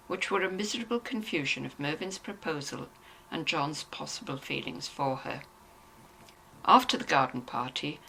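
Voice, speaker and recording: Low, bright-voiced, expressive woman narrator. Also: slight background noise, poor audio. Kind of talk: scripted narration of a novel, expressive.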